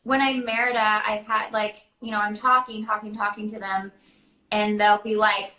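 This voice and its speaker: American woman, bright forceful tone, animated storyteller, casual host chat, echoey room. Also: medium pitch, normal speed. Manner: animated storytelling, acting out quoted dialogue. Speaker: live show host and character party performer. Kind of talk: casual chat, answering viewer questions. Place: indoor room, online video chat. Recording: roomy echo.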